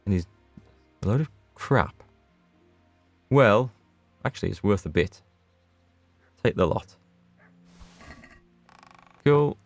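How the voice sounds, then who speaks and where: A man's voice: on the low side, lively intonation, slow, long pauses, dry recording, soft background music. A man giving casual commentary on a game he is streaming, chatting with viewers as he plays. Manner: chatty, reads out and answers viewer chat. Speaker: gaming streamer. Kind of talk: casual let's play commentary.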